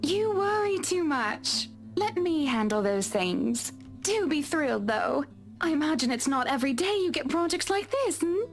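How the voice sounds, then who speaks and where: A woman's voice: sits high, very animated, normal speed, faint music behind. A woman playing a haughty, wealthy client in a visual novel game, speaking in a polished, imperious and breezy way. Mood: breezy, condescending.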